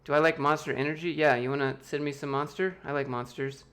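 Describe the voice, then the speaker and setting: A man's voice: on the high side, steady tone, fast, fairly bright tone. An American man hosting a livestream, reading viewer questions aloud and answering them in a loose, joking, offhand way. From United States. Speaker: YouTube host answering chat. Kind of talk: casual livestream Q&A.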